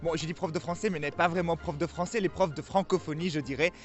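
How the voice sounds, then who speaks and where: A man's voice: a little high, steady tone, racing. Friendly male TV host, lo-fi. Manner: friendly, informal, addresses guest as tu. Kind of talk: interviewing a guest. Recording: dull lo-fi TV audio over music.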